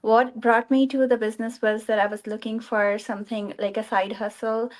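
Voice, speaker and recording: A woman's voice: fairly high pitch, flat delivery, normal speed. A woman from India moderating an online panel. She speaks in a friendly, casual way with hesitant fillers. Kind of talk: casual webinar panel talk. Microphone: video call.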